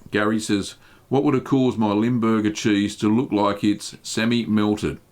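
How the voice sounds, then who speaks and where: A man hosting a cheesemaking live stream, reading viewers' chat questions aloud and answering them in a relaxed, chatty way with plenty of ums. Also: lowish voice, measured pace. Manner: relaxed, chatty, many ums and uhs, light laughs. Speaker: cheesemaking channel host.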